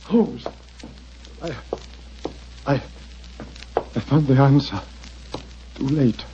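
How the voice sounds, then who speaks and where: A man's voice: mid-range voice, drawn-out words. An actor playing a desperate, dying nobleman, weak and gasping, in a 1940s radio melodrama. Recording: muffled, noisy 1940s radio recording.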